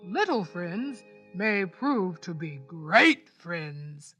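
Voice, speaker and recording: A woman voicing a big, proud male lion in a children's storybook, slow and booming. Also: sing-song, bright, forceful tone, slightly rough, soft background music, exaggerated voice. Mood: grateful.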